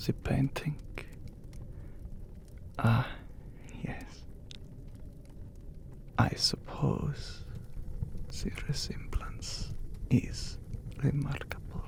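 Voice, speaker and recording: A man playing an ancient, courteous, brooding vampire count in an ASMR roleplay, speaking in a breathy, gravelly hush with formal phrasing and long pauses. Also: mid-range voice, steady tone. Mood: somber, haunted. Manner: slow, formal, hushed, with long dramatic pauses. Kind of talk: ASMR romantic roleplay, scripted character acting.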